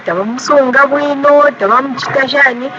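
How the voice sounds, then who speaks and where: High-voiced Zambian man, muffled noisy audio. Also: brisk. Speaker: member of the public giving views. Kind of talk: spontaneous vox pop. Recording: dull audio with clear background noise.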